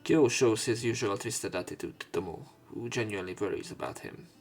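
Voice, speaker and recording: A man's voice: fairly low pitch, a little fast, soft background music. A man doing a let's play, reading a visual novel's narration and dialogue aloud with informal, sometimes sweary asides. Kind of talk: narrated reading with offhand commentary.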